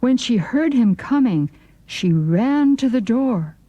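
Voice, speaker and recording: A woman's voice: on the high side, animated, takes it slowly, dark tone, dry recording, muffled recording. A woman narrating a children's folk story in a scripted storytelling style.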